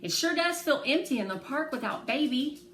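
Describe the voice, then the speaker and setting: Lady doing an eager kid girl from a storybook, earnest n excitable, pitched up, echoey. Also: normal speed. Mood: wistful. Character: Piper, eager, earnest young girl heroine. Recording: roomy echo, faint background noise.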